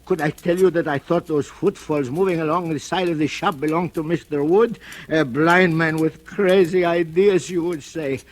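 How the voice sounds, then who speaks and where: A man's voice: fairly high pitch, normal speed, few pauses, natural voice. Boaz, a man playing a vengeful old blind cobbler. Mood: bitter. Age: older. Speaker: narrator and lead character. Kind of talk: 1940s radio drama acting. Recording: old radio recording with music bed.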